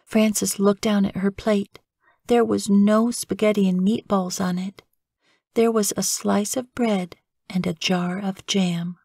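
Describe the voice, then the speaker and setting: A woman's voice: mid-range voice, steady tone, takes it slowly, vocal fry, dark tone, no room sound, clean studio sound. A woman narrating a children's story as a scripted audiobook storyteller.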